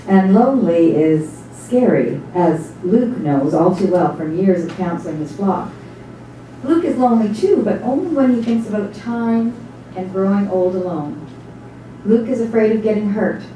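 A woman playing the storyteller in a staged dramatic reading, narrating in a measured, literary way. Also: mid-range voice, warm tone, close to an everyday voice. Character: observant storyteller narrating a bar scene. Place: small stage before a seated audience. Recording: very echoey hall, distant mic, faint noise.

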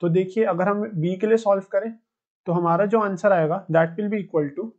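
Young male Indian-accented tutor, high voice, methodical step-by-step explaining, slightly dull lo-fi recording. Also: steady tone, moderate pace, darkish tone. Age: 20s. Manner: methodical, repeats phrases. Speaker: physics teacher. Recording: dull, muffled lo-fi sound.

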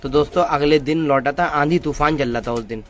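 A man's voice: a little high, fairly quick. A man vlogging in an open courtyard, chatting casually to his viewers and calling them friends as he films from a phone. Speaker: pigeon-keeping vlogger. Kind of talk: casual show-and-tell narration. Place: open-air courtyard full of pigeons. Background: pigeons cooing and fluttering.

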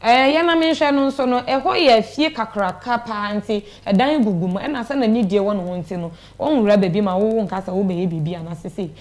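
A woman's voice: mid-range voice, fairly quick, few pauses, darkish tone. A young woman with a Ghanaian accent giving a personal account as a studio interview guest, retelling conversations as she goes. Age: young adult. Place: small TV studio, indoors. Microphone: clip-on lapel mic.

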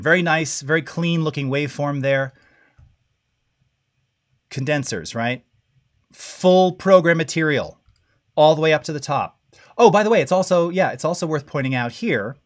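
A middle-aged man with a low voice hosting a live-stream audio tutorial, talking casually and checking in often with "all right?" and "okay?". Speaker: audio masterclass host. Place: home studio with dim blue lighting. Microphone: studio mic close up.

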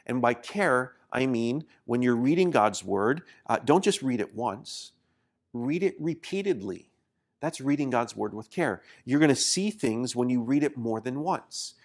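A man's voice: mid-range voice, expressive, unhurried. A man in his forties who grew up in Indonesia, teaching a sermon to camera in an earnest, encouraging way, often restarting his words. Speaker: pastor. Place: small studio set.

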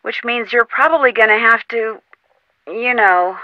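A woman's voice: a little high, a little slow, no echo, dull audio, close to an everyday voice. An actress voicing a curious, determined young female amateur detective in a video game, asking polite, probing questions in an upbeat manner. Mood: inquisitive. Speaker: game character voice. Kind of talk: voiced game dialogue. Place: recording studio.

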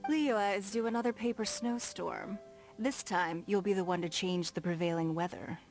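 An actress playing a stately, shrewd noblewoman in a video game, formal, measured and self-assured, with prominent S sounds. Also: mid-range voice, a little mellow, natural voice. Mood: assured, composed. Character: poised, stately noblewoman and shrewd business magnate. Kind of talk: video game character dialogue. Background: background music.

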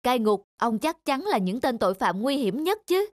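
A woman voicing a stern, fair-minded middle-aged male governor in a cartoon, speaking formally and with measured authority. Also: on the high side, sing-song, no echo, studio quality. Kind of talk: scripted cartoon dub. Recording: music under the dialogue.

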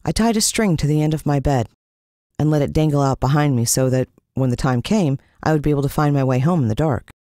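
An American woman narrating a scripted children's adventure story in an expressive style. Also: deep voice, fast, no echo, clean studio sound. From United States. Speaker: audiobook narrator.

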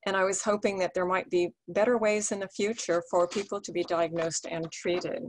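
A woman's voice: on the low side, fairly even intonation, normal speed. Woman introducing guests, faintly noisy recording. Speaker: support group host introducing guest speakers. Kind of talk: earnest introduction at a virtual meeting. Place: online video call.

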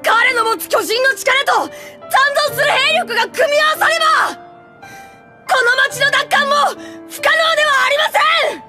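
A woman's voice: very high-pitched, normal speed. A woman voicing an earnest teenage boy soldier in an anime game, declaiming with urgent, desperate passion. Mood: fervent resolve. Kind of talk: dramatic Japanese anime game acting. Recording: loud orchestral music behind. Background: loud dramatic music.

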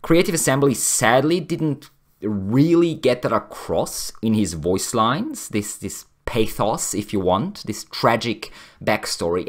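A man's voice: mid-range voice, fairly bright tone. A man presenting his amateur voice acting in an informal, hesitant, self-effacing way. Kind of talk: informal video introduction and sign-off.

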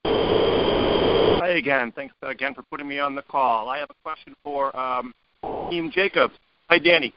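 A man's voice: a little high, animated, measured pace, vocal fry, long pauses. A man asking a press question as a reporter on a telephone conference call. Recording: dull lo-fi conference phone line.

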